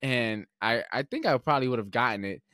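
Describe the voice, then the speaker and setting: A young man hosting a podcast, telling stories in a relaxed, rambling way with lots of filler words. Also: mid-range voice, brisk, no room sound, clean studio sound. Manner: relaxed, rambling storyteller with many fillers and restarts. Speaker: podcast host. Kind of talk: casual podcast conversation.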